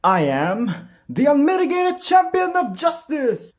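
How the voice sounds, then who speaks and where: High, shouting superhero. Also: sing-song, a little slow. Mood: heroic, boastful. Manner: grandiose, shouted declarations. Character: bombastic masked superhero champion of justice.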